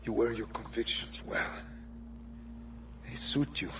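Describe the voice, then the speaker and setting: An actor playing a cold, dignified, scornful older Templar villain in a video game. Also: male voice, medium pitch, slow pace, noisy background, natural voice. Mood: quietly scornful. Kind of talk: cinematic video game acting.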